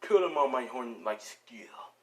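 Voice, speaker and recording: A man voicing a dim-witted, bloodthirsty rhino villain with a breathy, blunt and threatening delivery in a fan superhero story. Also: mid-range voice, lively intonation, measured pace, lightly acted. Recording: clearly noisy home recording.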